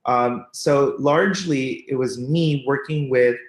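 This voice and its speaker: A man at a mid-range pitch speaks thoughtfully, stopping often on "uh" as he picks his words. His voice rings in a noticeably roomy, echoey space, as on a podcast panel. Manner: thoughtful, hesitant, with many filler pauses. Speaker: startup executive panelist. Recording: roomy echo.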